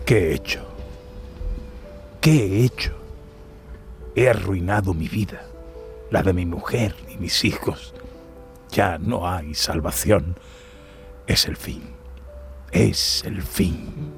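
A man's voice: low-pitched, slow, vocal fry, long pauses, soft background music, exaggerated voice. An actor playing a worried, proud husband and father in a radio play, hesitant and repeating himself. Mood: despairing.

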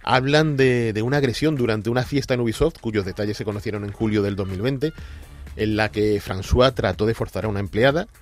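A man's voice: on the low side, brisk, soft background music. A man co-hosting a gaming news show, chatting casually with hesitations and asides. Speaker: radio co-host.

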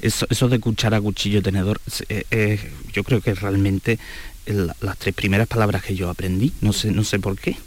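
A man's voice: on the low side, fairly even intonation. A man, a novelist whose first language is not Spanish, speaking reflectively on a radio interview with many restarts. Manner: reflective, halting with repeated words. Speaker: novelist guest.

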